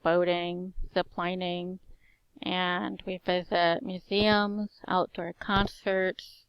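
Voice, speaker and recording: A woman's voice: on the low side, gravelly, no echo, dull audio. A woman in her forties, a teacher, introducing herself in a strong voice that carries, with a slight slur on her S sounds. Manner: lists things in short even phrases. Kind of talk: personal self-introduction monologue.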